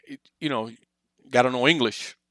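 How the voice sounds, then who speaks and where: A man's voice: medium pitch, fairly quick, pushed and bright, no echo. A man hosting a podcast, chatting casually with a guest in an interview style. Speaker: podcast host.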